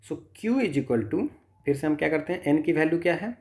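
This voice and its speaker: A man's voice: fairly high pitch, animated, normal speed, darkish tone. A man with an Indian accent teaching as a tutor, working step by step through problems, thinking aloud and answering his own questions. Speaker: physics tutor. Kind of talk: step-by-step exam problem lesson, mixing Hindi and English.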